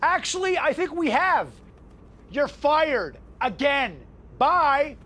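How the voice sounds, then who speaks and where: High-pitched, imperious woman boss. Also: expressive, takes it slowly, a bit bright, exaggerated voice. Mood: indignant, smug. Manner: curt, imperious. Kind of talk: video game cutscene acting.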